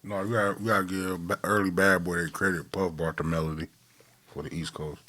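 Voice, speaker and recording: A man's voice: low-pitched, level tone, fairly quick, bright, forceful tone. A man arguing his point in a casual discussion.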